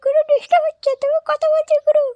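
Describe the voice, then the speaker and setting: A little cartoon underling, squeaking at an extremely high pitch. The complaints are insistent and almost monotone. Clear noise runs behind the voice. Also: fast. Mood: nagging. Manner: nagging, insistent. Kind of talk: comedy cartoon dubbing. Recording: clearly noisy recording.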